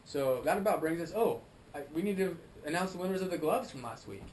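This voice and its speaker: A man's voice: a little high, expressive, brisk, darkish tone, slight background noise, low-quality recording. An American man hosting a show, chatting casually and enthusiastically. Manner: upbeat, enthusiastic slang. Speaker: show host. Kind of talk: casual chat.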